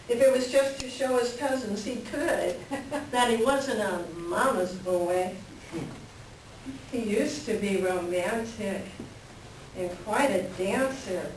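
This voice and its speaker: A woman playing a lonely, frustrated farm wife in a play reading, plain-spoken and wistful. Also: fairly high pitch. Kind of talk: staged play reading, conversational dialogue. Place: library reader's theater reading. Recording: echoey room from far off, faint noise.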